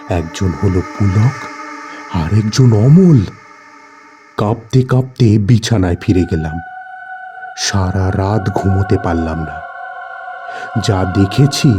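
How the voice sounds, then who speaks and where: A man reads a scripted horror tale with deliberate, suspenseful delivery. His voice is very dark and mellow, moving slowly over background music. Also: on the low side. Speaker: storyteller reading a horror story. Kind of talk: dramatic scripted audio-story narration.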